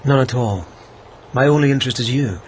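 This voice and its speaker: An actor playing a lovesick young lieutenant, earnest and tender with a self-mocking edge. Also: male voice, medium pitch, brisk, darkish tone, slight background noise, close to an everyday voice. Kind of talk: radio drama acting.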